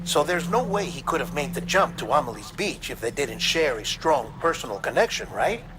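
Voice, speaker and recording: A man playing an earnest, analytical investigator in a video game, giving a serious, measured report to a colleague. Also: a little high, very animated, background noise. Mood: urgent, puzzled. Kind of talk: scripted dramatic game dialogue.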